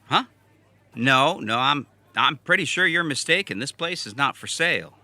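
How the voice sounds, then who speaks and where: A man's voice: medium pitch, animated, moderate pace, bright, forceful tone, slight background noise. A man playing a stubborn, earnest diner cook in a comedic audio drama. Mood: worried, pleading. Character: stubborn, earnest, joke-loving man who cooks at a country diner.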